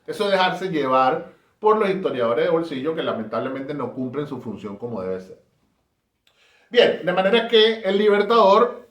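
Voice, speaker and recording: A man from Caracas with a Venezuelan accent, giving an emphatic, rhetorical talk on history. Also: a little high. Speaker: history presenter. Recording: roomy echo.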